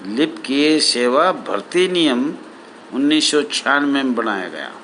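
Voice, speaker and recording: A man addressing association members as their leader, deliberate and repetitive, in formal official phrasing. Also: mid-range voice, expressive, a bit bright, a little hiss or hum.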